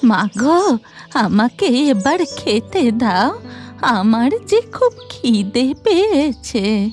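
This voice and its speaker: A woman voicing an old beggar woman in a cartoon folktale, with slow, drawn-out, deliberate speech. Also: on the high side, big pitch swings, faint music behind, heavily acted. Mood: pleading. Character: goddess disguised as hungry old beggar woman, slow, insistent.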